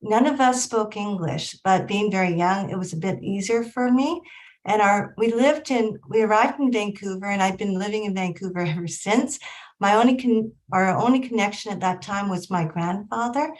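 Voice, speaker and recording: A middle-aged woman from Hong Kong, a research director speaking on a panel in a measured way over a video call. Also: medium pitch, low-quality recording. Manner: measured, with frequent ums. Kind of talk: panel discussion. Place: home or office in Vancouver.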